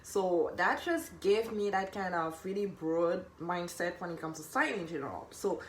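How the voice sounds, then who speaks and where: A woman's voice: lowish voice, normal speed. A woman from there, giving an earnest, informal live talk as a personal stylist, rephrasing and repeating for emphasis. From Cameroon. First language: French. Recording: echoey room with background noise.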